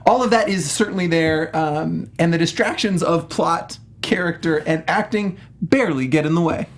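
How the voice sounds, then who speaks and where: A man co-hosting a panel show with casual, wordy, excitable comic banter. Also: a little high, moderate pace.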